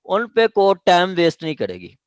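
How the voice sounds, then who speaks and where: A man's voice: sits high, wildly swooping pitch, fairly quick, clear, resonant tone, no room sound. A man with a Pakistani accent lecturing on statute law in a didactic way, checking in with listeners as he explains. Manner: didactic, punctuates points with check-in questions.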